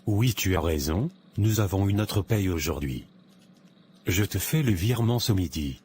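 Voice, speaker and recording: A man acts out a vain young office worker in a cartoon moral tale, always broke and hungry for luxury. His voice is low, with clear noise behind it. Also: animated, moderate pace, darkish tone. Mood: casual, evasive. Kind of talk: animated moral tale dialogue.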